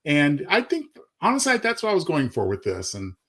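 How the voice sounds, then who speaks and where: A man's voice: a little high, wildly swooping pitch, a little fast, fairly bright tone. A man co-hosting a live show and talking about his writing in a courteous, slightly formal but chatty way. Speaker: co-host and short story author. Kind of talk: casual live chat.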